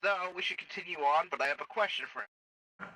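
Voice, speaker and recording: A man improvising in-character roleplay over game voice chat, matter-of-fact, with a halting delivery. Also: sits high, expressive, gravelly, pushed and bright, dry recording, recorded from a distance, low-quality recording. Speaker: roleplayer acting as a Star Wars officer.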